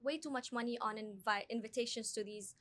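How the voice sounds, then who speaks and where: A young woman from Lebanon talks as a podcast guest, with a fairly high voice. She chats freely and laughs easily. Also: brisk. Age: 20s. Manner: lively, chatty, laughs easily. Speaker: guest, actress and film producer. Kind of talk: casual podcast interview.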